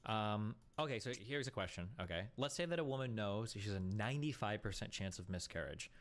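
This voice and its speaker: A man in an online debate, calmly probing with questions. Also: fairly low pitch, fairly quick.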